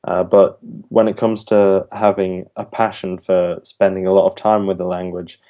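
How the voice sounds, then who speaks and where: A man's voice: sits low, level tone, normal speed, mellow. A young man, a university language student, answering interview questions thoughtfully and earnestly, with some ums and restarts. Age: young adult.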